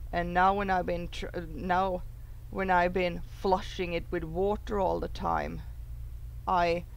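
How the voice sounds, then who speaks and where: A woman hosting a gaming video, chatting casually and reading aloud in a halting, unhurried way. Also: fairly low pitch, creaky. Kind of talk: casual chat and reading a visual novel aloud.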